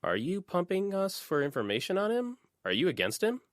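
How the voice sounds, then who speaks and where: A man's voice: sits high, huge pitch swings, brisk, no room sound, clean studio sound, pitched above the performer's own voice. A man voicing a nosy, insistent teenage schoolgirl who presses with probing questions in an audiobook. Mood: suspicious, demanding.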